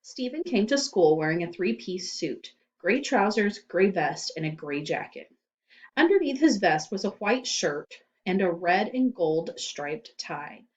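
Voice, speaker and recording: A woman storyteller reading a children's book aloud in animated scripted narration. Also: mid-range voice, muffled recording.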